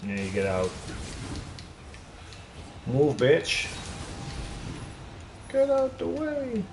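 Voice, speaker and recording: A man's voice: fairly high pitch, huge pitch swings, extremely slow, reverberant, noisy background. A young Dutch man with a Dutch accent, casually commentating a game and chatting with viewers, swearing now and then. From Netherlands. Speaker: gaming streamer. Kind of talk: casual live commentary while playing.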